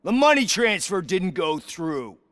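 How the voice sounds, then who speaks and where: A man's voice: high voice, very animated, a little slow, heavy background noise. An actor playing a cool, matter-of-fact male mercenary leader in a game cutscene. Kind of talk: video game cutscene acting.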